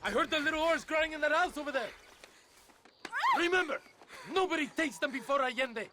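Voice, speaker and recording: An actor playing a proud, ruthless, impatient Mexican army captain in a video game, with a Mexican accent. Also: male voice, extremely high pitch, a little fast, noisy background. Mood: cold commanding. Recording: loud music behind the voice.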